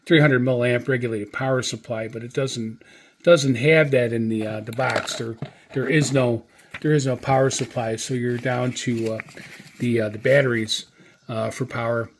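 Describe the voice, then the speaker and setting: A man giving a casual, unscripted product review in a halting, hesitant way, often repeating phrases. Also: mid-range voice, steady tone, slight background noise.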